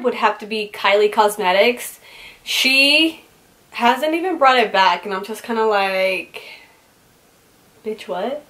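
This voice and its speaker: A woman's voice: on the high side, fairly bright tone. A young woman in her twenties, originally from California and raised in Utah, answers viewer questions in a casual, chatty vlog, filling her talk with "like". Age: 20s. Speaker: beauty vlogger. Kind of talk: casual get-to-know-me Q&A.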